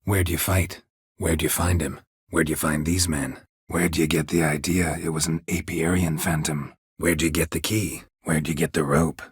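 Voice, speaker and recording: An actor playing a stoic, world-weary monster hunter in a video game, with a gravelly, husky voice and terse, dry, deadpan delivery. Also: male voice, rumbling low, moderate pace, dry recording, heavily acted. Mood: guarded, probing.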